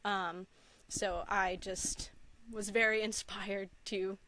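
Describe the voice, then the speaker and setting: A woman's voice: mid-range voice, little pitch movement, takes it slowly, pushed and bright, no echo, low-quality recording. A young adult woman telling personal stories in casual chat as an enthusiastic, gushing podcast guest. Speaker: podcast guest, content creator.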